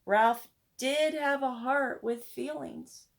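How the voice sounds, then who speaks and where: A woman reading a children's storybook aloud in expressive narration. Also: high voice, takes it slowly, slight background noise. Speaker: storybook reader.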